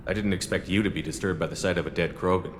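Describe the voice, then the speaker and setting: Man as game military commander, deep voice, fast pace, direct, challenging, long reverb, music underneath. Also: steady tone, natural voice. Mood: curt, probing. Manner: direct, probing, confrontational. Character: blunt, challenging human male military commander. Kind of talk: video game cutscene acting.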